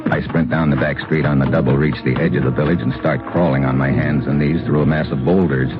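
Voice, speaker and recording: Deep-voiced hard-boiled American radio hero. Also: male voice, brisk. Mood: tense, clipped. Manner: clipped, hard-boiled, deadpan. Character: tough, wry American male adventurer hero narrating his mission. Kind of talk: 1950s radio adventure acting and narration. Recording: dull old radio recording, music behind.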